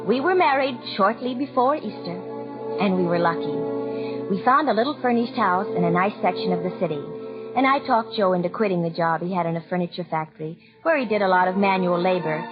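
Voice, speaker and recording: An actress playing a gentle, earnest young mother in a 1940s radio drama. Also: on the low side, brisk, music in the background, natural voice. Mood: reflective. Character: gentle, flustered young mother of small boy. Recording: muffled old 1940s radio recording.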